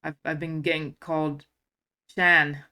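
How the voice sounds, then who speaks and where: Clear, bright-voiced woman chatting. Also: sits low, flat delivery, measured pace. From USA. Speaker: host. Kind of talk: casual conversational chat.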